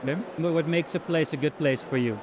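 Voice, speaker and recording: Man, interviewer, high voice, short friendly questions, noisy background. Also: fast. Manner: friendly, brief questions with ums. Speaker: street interviewer. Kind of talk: casual street interview.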